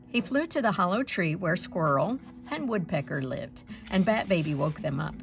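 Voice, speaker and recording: A woman reading a children's picture book aloud in an expressive storytelling style, acting out the sounds and dialogue. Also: on the low side, normal speed, creaky, music in the background. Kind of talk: animated read-aloud narration for children.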